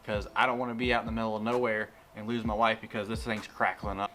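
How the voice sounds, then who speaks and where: A man's voice: fairly low pitch, level tone, fast, sharp tone, a little hiss or hum. A man hosting a casual gadget review vlog in an informal, plain-spoken way. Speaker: host of a car tech review channel.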